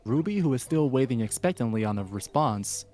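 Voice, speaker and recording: Male co-host and story reader, average pitch, casual, music in the background. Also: animated, a little fast, a little mellow. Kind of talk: casual chat and story narration. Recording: music playing underneath.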